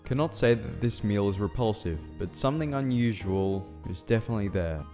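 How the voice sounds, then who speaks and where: A man's voice: fairly low pitch, expressive, brisk, warm tone, lightly acted. An actor playing an earnest, pedantic male scientist with a stiff, formal, hesitant manner in a radio play. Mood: pedantic, curious. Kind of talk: radio play character dialogue. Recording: long reverb, music behind. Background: faint background music.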